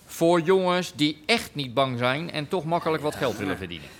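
An actor in a radio drama playing a domineering Dutch underworld boss who talks in a commanding, sardonic, pressuring way. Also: male voice, fairly high pitch, very animated, normal speed, slight background noise. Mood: persuasive. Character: domineering Rotterdam underworld boss recruiting young toughs.

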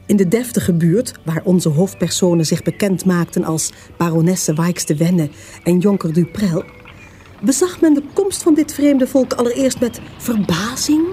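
A woman narrating a radio play in a measured, formal storytelling manner. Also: fairly low pitch, mellow.

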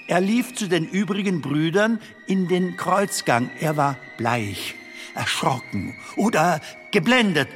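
A high-voiced, naive film comedian. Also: male voice, expressive, slow pace, fairly bright tone. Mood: hesitant recounting. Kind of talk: radio play acting. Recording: long reverb of a tunnel, music behind.

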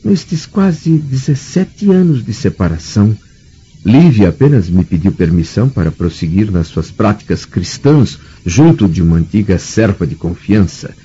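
A dull, muffled radio drama recording carries a proud, troubled Roman nobleman of middle age. His Brazilian-accented voice is low and very husky. The delivery is formal and anguished, swinging widely in pitch. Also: animated, moderate pace, warm tone, soft background music. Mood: troubled, reflective.

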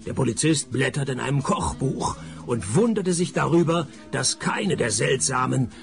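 Gravelly, sardonic private eye. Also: male voice, mid-range voice, animated, normal speed, music in the background. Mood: deadpan narrating. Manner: dry, sardonic. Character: cynical wisecracking male private detective, narrating his case. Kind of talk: radio play narration and dialogue.

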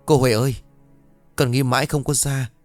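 A man's voice: mid-range voice, fairly even intonation, moderate pace, dry recording, faint music behind, voice pushed up, close to an everyday voice. A man voicing a young woman, an earnest and desperate daughter who speaks politely and pleads respectfully.

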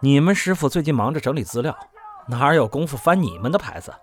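A man's voice: mid-range voice, very animated, quick pace, soft background music. A man playing a genial, teasing middle-aged senior of a comedy troupe in an audio drama. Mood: wry. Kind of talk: Chinese audio drama acting.

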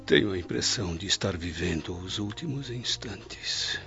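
An actor playing a dying, repentant older Roman nobleman in a radio drama, weak, breathy and faltering, with solemn, pleading delivery. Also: low voice, moderate pace, pushed and bright. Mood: weak, tender. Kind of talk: old radio drama acting. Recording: dull lo-fi radio drama with music.

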